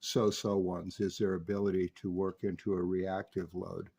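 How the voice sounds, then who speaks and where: Low-voiced man giving a technical demonstration. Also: little pitch movement, moderate pace, vocal fry, a little mellow, breathy edge. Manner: methodical, explanatory, technical. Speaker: radio restorer demonstrating equipment. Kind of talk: hands-on technical demonstration, unscripted commentary.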